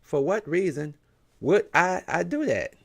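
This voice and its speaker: A man's voice: on the high side, very animated, measured pace, gravelly. A man hosting a show, giving impassioned commentary with emphatic rhetorical questions. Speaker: show host.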